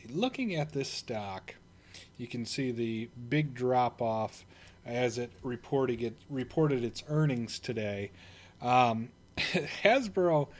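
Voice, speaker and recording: Guy casually rambling about a stock dip, lots of uhs and restarts, mid pitch. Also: a little mellow. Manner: loose and rambling, many fillers and restarts. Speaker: investing channel host. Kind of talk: casual stock commentary over screen recording.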